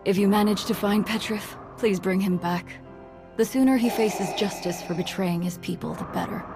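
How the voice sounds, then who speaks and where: A stern, diplomatic stateswoman played by a woman, formal and composed, with a noticeable creak in her mid-pitched voice. Music plays behind this game dialogue. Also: moderate pace, lightly acted. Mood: grave. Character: composed, stern female government official. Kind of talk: video game cutscene acting.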